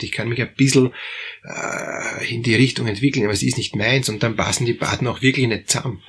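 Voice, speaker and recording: A man's voice: medium pitch, fairly bright tone, slight background noise. A man, a couples therapist giving relationship advice in a rambling way with many fillers and restarts. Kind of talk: advice talk.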